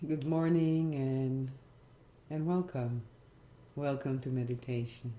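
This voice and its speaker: A very slow-speaking middle-aged woman leads a reflective meditation, leaving gentle pauses between phrases. Her tone is soft-edged and mellow. The voice sits in a roomy echo with faint music underneath. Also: a bass voice, fairly even intonation. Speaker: meditation guide and hypnotherapist. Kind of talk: reflective guided meditation talk.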